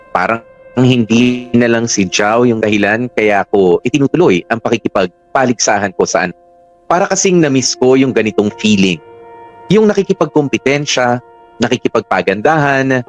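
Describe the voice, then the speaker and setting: A man's voice: mid-range voice, close to an everyday voice. Man voicing a confiding, restless mother's monologue. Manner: reflective, confiding. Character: restless young mother confiding thrill of romantic rivalry. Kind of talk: dramatic first-person monologue.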